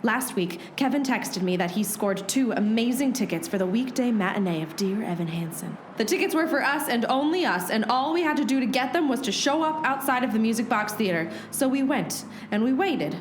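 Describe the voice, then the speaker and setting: A woman's voice: a little high, expressive, normal speed, roomy sound, a little hiss or hum, lightly acted. A woman playing a plucky, defiant dame in a noir radio play, with theatrical, melodramatic delivery.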